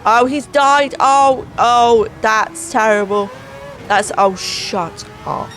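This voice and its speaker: Slow, sarcastic woman losing her voice. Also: a little high, a bit bright, a little hiss or hum. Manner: sarcastic, exasperated. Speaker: gaming channel host. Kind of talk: racing game voice-over commentary. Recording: voice over clear background music.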